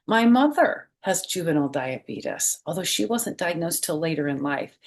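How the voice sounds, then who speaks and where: A woman hosting a children's storytime, reading aloud expressively and speaking directly to young listeners with questions and simple explanations. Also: on the low side.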